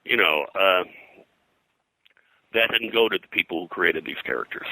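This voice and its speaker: An older man with a deep voice and a strikingly sharp, forceful edge. He talks as a historian being interviewed, wandering through anecdotes with hesitant phrasing. The recording is close and dry. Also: expressive, creaky, no echo, low-quality recording. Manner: halting, discursive, hesitant phrasing. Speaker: interview guest, pop culture historian. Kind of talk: casual interview, anecdotal storytelling.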